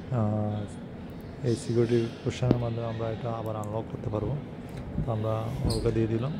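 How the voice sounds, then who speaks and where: Loud background noise surrounds a man. He talks viewers through an installation in a plain, unscripted way with little movement in pitch. English technical terms are mixed into his instructions. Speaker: installer presenting a how-to video. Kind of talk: unscripted tutorial narration. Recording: loud ambient and vehicle-like noise.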